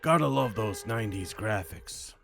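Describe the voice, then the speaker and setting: A man doing video game commentary and voice practice in a dry, deadpan way, muttering at times. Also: on the low side, moderate pace, over loud music. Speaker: gamer practicing voice acting. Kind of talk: gameplay commentary mixed with character-voice practice. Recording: clearly audible background noise, clicks.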